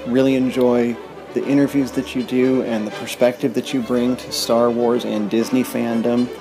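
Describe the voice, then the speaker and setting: A man's voice: mid-range voice, little pitch movement, moderate pace, vocal fry, rough recording. A man, a podcast host, leaving a friendly, casual congratulatory message. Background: music.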